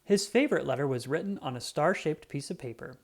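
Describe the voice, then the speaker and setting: An animated read-aloud narrator for a children's picture book. The pitch swings widely and expressively, and key words are stretched out for dramatic emphasis. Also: fairly quick.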